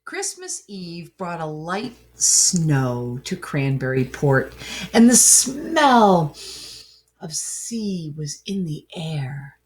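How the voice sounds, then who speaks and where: A woman reading a children's storybook aloud in an expressive, dramatic way, with asides to young listeners. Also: sits low, extremely slow, low-quality recording. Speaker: picture book reader.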